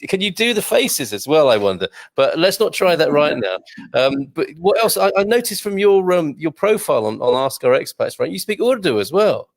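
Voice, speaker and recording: A man hosting an interview, upbeat and quick with praising interjections. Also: on the high side, very animated, no room sound. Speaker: host.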